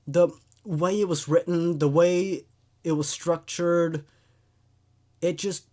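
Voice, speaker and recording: A man with a fairly high-pitched voice hosts a horror story show. He gives casual, laid-back commentary. He often repeats his phrases to stress a point. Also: darkish tone.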